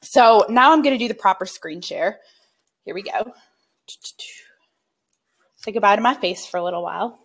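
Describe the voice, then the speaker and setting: A woman's voice: medium pitch, lively intonation, moderate pace, long pauses. A woman in her twenties teaching a live-streamed writing course, chatty with lots of fillers, a little congested from a sinus infection. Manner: chatty, warm-hearted, many fillers. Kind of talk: live-streamed online class.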